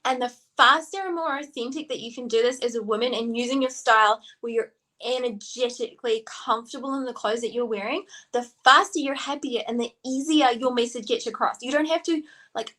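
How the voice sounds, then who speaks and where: A woman in her thirties with a New Zealand accent, a stylist co-hosting a podcast and chatting eagerly with many "like" fillers. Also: on the high side. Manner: energetic, enthusiastic, lots of "like" fillers. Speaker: stylist and co-host. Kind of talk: casual podcast chat.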